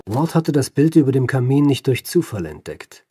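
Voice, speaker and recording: An actor playing an educated doctor who recounts a patient's case in a radio drama, formal, deliberate and precise. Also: male voice, mid-range voice, very animated, background noise, natural voice. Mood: grave, intriguing. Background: music.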